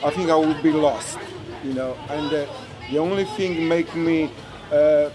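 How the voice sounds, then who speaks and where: A man from Angola, a kizomba teacher speaking haltingly in an interview, searching for his words. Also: on the high side, steady tone. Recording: music and crowd behind.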